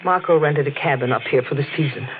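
A woman acting an intense, troubled, secretive young woman in a 1940s radio melodrama, with deliberate, dramatic phrasing. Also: low-pitched. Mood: somber recounting. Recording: faintly noisy, narrow-band old radio recording.

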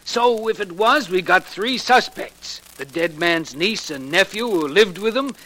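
An actor playing a gruff, stubborn, exasperated homicide sergeant in a 1940s radio detective drama, blustering and slangy as he argues. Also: high-pitched, animated, unhurried, pushed and bright, exaggerated voice. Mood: insistent.

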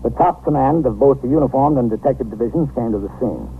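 A man playing a weary police captain in a fifties radio drama, reporting in clipped, factual, procedural language. His mid-pitched voice comes through a narrow, phone-like band with light noise behind it. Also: fast talker, close to an everyday voice. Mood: sober, matter-of-fact. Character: weary, matter-of-fact male police precinct captain. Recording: noisy, thin 1950s radio broadcast recording.

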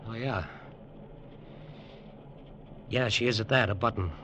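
An actor playing a cocky, scheming American detective in a tough, clipped, sardonic 1950s radio noir style. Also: male voice, fairly low pitch, unhurried, sharp tone. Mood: curt, commanding. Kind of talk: 1950s radio noir acting, first-person narration and dialogue. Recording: noisy old radio drama recording.

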